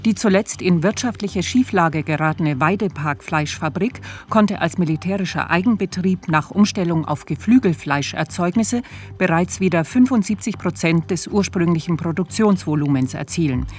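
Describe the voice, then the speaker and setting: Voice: medium pitch, moderate pace, a little mellow, close to an everyday voice. A performer playing a sober radio news reader, delivering scripted bulletins in a formal, factual manner. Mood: neutral, formal.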